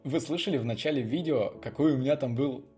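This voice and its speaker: A young adult man talks casually to his viewers as a video blogger. His delivery is unscripted and chatty, wandering as he thinks aloud. His voice sits at an average male pitch, with music playing faintly behind it. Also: fast, darkish tone.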